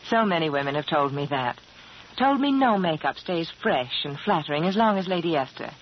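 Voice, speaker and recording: A woman's voice: low-pitched, animated, fairly quick. A woman delivering a scripted 1940s radio advertisement in a polished, persuasive, emphatic manner. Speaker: beauty advertiser. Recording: muffled, hissy 1940s radio broadcast.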